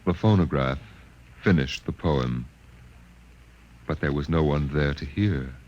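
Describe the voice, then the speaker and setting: A man narrating a scripted story dramatically, in a measured literary storytelling manner. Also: a bass voice, a little mellow, lo-fi sound.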